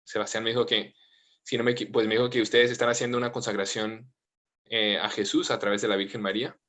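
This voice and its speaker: Gentle man with a mixed accent. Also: lowish voice, level tone, fast. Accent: mixed Latin American and Spanish. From United States, family from Colombia. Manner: gentle, informal, with frequent fillers. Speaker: priest. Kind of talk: prayer and talk over video call. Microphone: video call.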